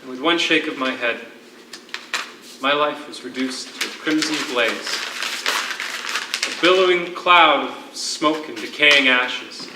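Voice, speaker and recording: An actor playing a grieving, defeated imprisoned poet in a stage drama, speaking in deliberate, drawn-out phrases with dramatic pauses. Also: male voice, medium pitch, lightly acted. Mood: haunted grief. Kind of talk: theatrical stage acting, dramatic monologue. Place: theatre stage, miked from a distance. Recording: echoey, noisy stage recording from far off.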